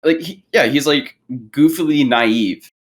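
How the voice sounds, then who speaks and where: A man's voice: a little high, a little slow. A man talking casually as a podcast panelist, informal and rambling, circling back over his words. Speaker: podcast panelist discussing films. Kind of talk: casual chat.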